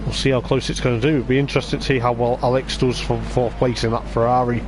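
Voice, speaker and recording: A man with a voice going hoarse, giving rambling live race commentary on a stream, with lots of hesitant fillers. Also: medium pitch, level tone, fast, background noise. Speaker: sim racing league commentator.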